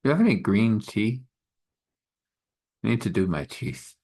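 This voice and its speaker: A man's voice: fairly low pitch, sing-song, a little slow, a little mellow, full character voice. A man voicing a tired, big male being who talks in plain, childlike short sentences. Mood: tired, simple. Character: weary, simple-talking big male being.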